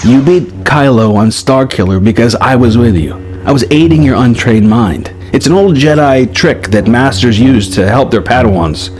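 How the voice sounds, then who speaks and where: A man's voice: mid-range voice, expressive, moderate pace, hardly any pauses, darkish tone, soft background music, close to an everyday voice. A man voicing a seasoned, wry Jedi master who mentors with assurance in a dramatized story reading. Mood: firm, instructive.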